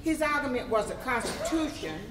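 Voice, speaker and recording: A woman reading scripted theatrical narration on stage in a measured, emphatic way. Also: high-pitched, expressive, crowd noise. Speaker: stage reader. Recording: echoey room, distant mic, audience responses.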